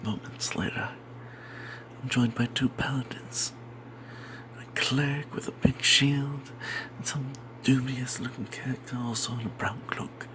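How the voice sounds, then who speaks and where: Breathy whispering man telling a fantasy tale. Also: mid-range voice, fairly even intonation, unhurried, low-quality recording. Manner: hushed and secretive, as if not to be overheard. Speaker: storyteller narrating a tabletop game tale. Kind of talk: whispered ASMR first-person fantasy storytelling.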